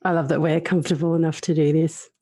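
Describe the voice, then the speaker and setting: A woman's voice: deep voice, level tone, quick pace, dark tone, dry recording, clean studio sound. A woman hosting a podcast, talking earnestly and reflectively about her own experiences. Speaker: podcast host.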